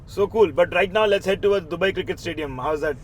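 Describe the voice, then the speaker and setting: A man's voice: sits high, brisk. A man hosting a casual interview show, upbeat and talking straight to the viewers. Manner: upbeat, speaks to viewers directly. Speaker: show host.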